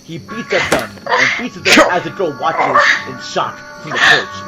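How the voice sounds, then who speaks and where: A man narrates a horror audio drama in a grave, theatrical style. His voice sits a little high and swings widely in pitch. Loud music runs behind him. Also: big pitch swings, normal speed, rough recording. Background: loud music and sound effects.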